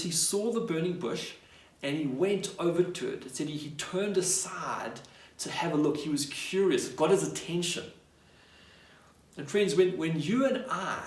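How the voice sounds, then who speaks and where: Guy preaching a sermon, kinda high voice, chatty storytelling style, really echoey room. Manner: conversational retelling, acting out dialogue and posing rhetorical questions. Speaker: pastor. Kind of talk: sermon, retelling a Bible story. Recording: echoey room, distant microphone.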